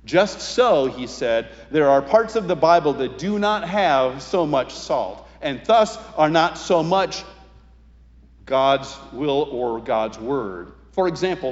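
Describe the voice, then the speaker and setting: A man preaching a sermon as a pastor, speaking in a reflective, deliberate way with emphatic pauses. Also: a little high. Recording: reverberant hall, slightly dull sound.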